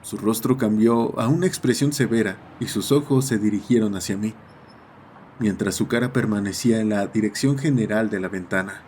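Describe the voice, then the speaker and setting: A man playing a schoolboy who narrates his own horror story in a measured, serious first-person style. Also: on the low side, a little mellow, slight background noise, close to an everyday voice. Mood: sombre.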